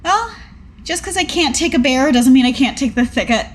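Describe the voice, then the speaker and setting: A woman voicing an earnest, modest young female adventurer in a tabletop game, talking in a hesitant, gentle, casual way. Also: high voice, animated, normal speed, a little hiss or hum. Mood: matter-of-fact.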